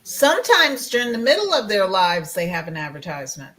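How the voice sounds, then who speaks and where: A woman, chatting in a lively, wry way over a video call. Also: mid-range voice, a little fast. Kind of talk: casual group chat.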